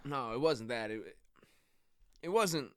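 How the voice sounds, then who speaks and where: An actor playing a proud, guarded, injured bull rider from a working-class family, with a Texan accent and halting, folksy speech, in a naturalistic radio play. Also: male voice, medium pitch, expressive, dry recording, studio quality. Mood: subdued, apologetic. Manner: plain-spoken, folksy country grammar, halting. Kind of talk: naturalistic radio drama acting.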